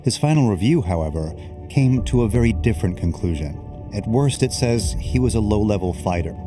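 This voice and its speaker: Low-voiced documentary reporter, man, over music. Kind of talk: scripted narration. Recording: music under the voice. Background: background music.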